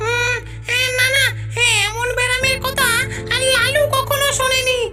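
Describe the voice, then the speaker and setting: Casting: silly contrary cartoon imp, extremely high squeaky pitch, chattering repetitions, music behind. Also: flat delivery, sharp tone, full character voice. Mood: argumentative. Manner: contradicting, rapid repetition of words. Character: tiny contrary silly ghostly imp, a witch's helper. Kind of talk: cartoon voice acting.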